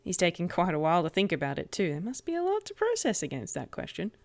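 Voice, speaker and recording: Creaky-voiced woman narrating a story. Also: fairly low pitch, huge pitch swings, fast talker. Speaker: tabletop roleplayer reading aloud.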